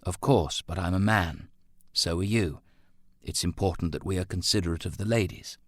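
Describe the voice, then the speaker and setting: A man as a stern, low-voiced gentleman father. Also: normal speed, dry recording, clean studio sound. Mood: grave, gentle. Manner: clipped old-fashioned phrasing. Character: stern, gentlemanly father, patient but easily flustered.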